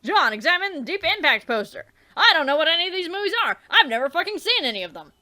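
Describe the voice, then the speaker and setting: A young woman with a very high, bright voice reads a webcomic aloud in a theatrical way, her pitch jumping all over the place. She swears freely and drifts into offhand, rambling asides as she hosts a let's play. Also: very animated, fast. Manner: theatrical reading, swears and trails off. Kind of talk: reading a webcomic aloud with commentary.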